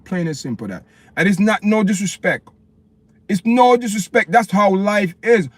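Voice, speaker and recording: A man's voice: high voice, lively intonation, normal speed, no room sound. A man from Jamaica with a Jamaican accent, ranting passionately on a livestream to his viewers and repeating his points. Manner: impassioned, repetitive, preachy, addresses viewers as "people". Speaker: livestream host. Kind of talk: opinionated live-stream rant.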